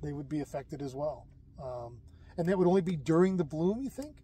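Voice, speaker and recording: A man with a fairly high but mellow, warm voice talks in a relaxed, rambling way, full of restarts and fillers. He hosts a fishing show outdoors by a lake and moves easily between chatting and showing how to clean a fish. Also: a little fast, slight background noise. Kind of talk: casual outdoor chat and demonstration. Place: lakeside outdoors.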